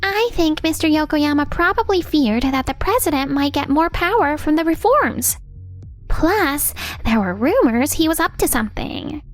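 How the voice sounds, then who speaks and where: A woman's voice: high-pitched, expressive, normal speed. A woman playing a friendly, anxious young female office coworker in a manga dub, with a girlish, eager delivery. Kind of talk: anime-style manga dub acting. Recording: background music under the voice. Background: music.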